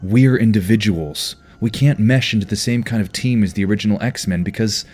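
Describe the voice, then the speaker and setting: A man's voice: on the low side, fairly quick, darkish tone, slightly rough. A man playing an earnest, caring team leader in an audio drama, speaking with sincere concern. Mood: earnest, frustrated.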